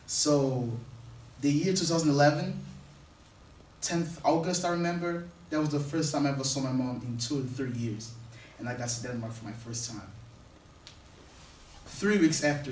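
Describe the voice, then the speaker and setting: A man's voice: medium pitch, a little mellow, slight background noise. Young man, echoey stage. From Portugal. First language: Portuguese. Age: young adult. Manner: earnest, rambling, with many fillers and restarts. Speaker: guest speaker. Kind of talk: personal story told to an audience. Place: on stage in a hall. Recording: echoey room, distant mic.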